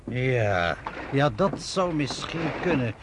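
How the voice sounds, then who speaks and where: A smooth-talking wheeler-dealer selling a ship, voice goes up and down a lot, noisy old radio recording. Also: male voice, medium pitch, sing-song, slow pace. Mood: obliging. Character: wheeling-dealing middle-aged ship seller. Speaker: ship seller. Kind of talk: radio play acting.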